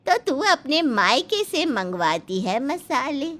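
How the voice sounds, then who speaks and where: A high-voiced, suspicious mother-in-law of middle age played by a woman in a cartoon family drama. She questions everything theatrically, with big swings in pitch and a bright, forceful tone. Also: sing-song, slow pace, exaggerated voice. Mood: suspicious, nosy. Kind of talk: animated family story dialogue.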